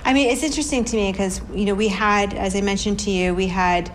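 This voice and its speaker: A woman hosting a podcast interview, affirming her guest and reflecting aloud in a relaxed, thoughtful way. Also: mid-range voice, fast talker, a little hiss or hum, poor audio. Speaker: podcast host. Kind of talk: casual interview chat.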